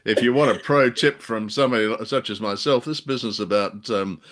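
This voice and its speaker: An older man with a fairly low voice hosts a casual review chat from indoors on a video call. He rambles a little, feeling his way through sentences with a wry, joking touch. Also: fairly quick, fairly bright tone. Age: older, around 70. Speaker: radio show host.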